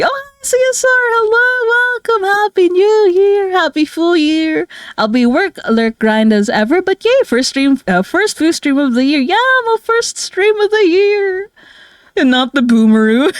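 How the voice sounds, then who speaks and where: A woman's voice: a little high, no room sound. A woman from the Philippines chatting casually on a livestream, rambling and giggling with lots of fillers. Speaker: streamer and artist.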